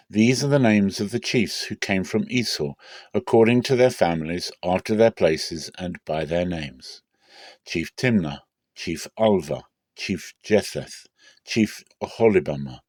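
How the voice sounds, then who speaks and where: A man narrates scripture in a low voice with a dry, close sound. His delivery is measured and deliberate, shaped as a dramatic reading. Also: a little mellow, no echo. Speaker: Bible reader.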